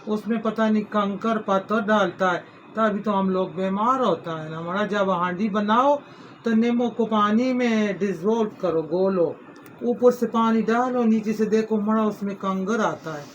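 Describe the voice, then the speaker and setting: A man's voice: sits high, unhurried, dull audio, a little hiss or hum. A middle-aged man, hosting a home cooking video in a folksy, rambling way, full of homely advice and blessings. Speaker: cooking channel host. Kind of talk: casual home cooking vlog.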